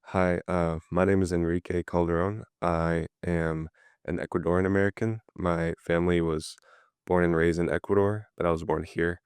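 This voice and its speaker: Young man, deep voice, flat delivery, smooth, dry sound. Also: normal speed, dry recording. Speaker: student guest. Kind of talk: self-introduction.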